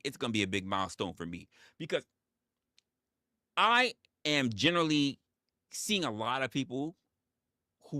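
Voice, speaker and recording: A man's voice: fairly low pitch, fairly bright tone, no room sound, studio quality. A man in his forties hosting a casual livestream monologue, emphatic and profane, often repeating his phrases for effect. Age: 40s. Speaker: livestream host.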